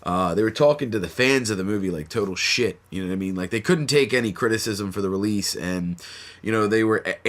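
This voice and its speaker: A man's voice: on the low side, a bit bright. A man hosting a horror podcast in a laid-back, slangy way with plenty of fillers, sounding stuffy from a cold. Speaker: podcast host. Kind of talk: casual podcast chat.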